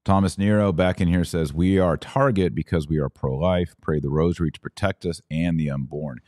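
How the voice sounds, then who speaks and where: A man's voice: deep voice, brisk, a little mellow, no echo, clean studio sound. A man hosting a livestream podcast, reading viewer comments aloud and answering them in an earnest, conversational way that rises to indignant emphasis. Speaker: podcast host.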